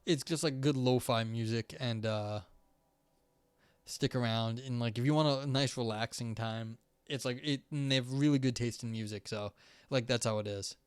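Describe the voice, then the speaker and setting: A young man talking loosely to his stream chat, full of restarts, filler words and half-finished thoughts. His voice is smooth and clear but starting to wear hoarse. The sound is dry and close, with no room echo. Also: medium pitch, steady tone, moderate pace. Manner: rambling, many fillers and restarts. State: voice going hoarse. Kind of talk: casual live-stream chat.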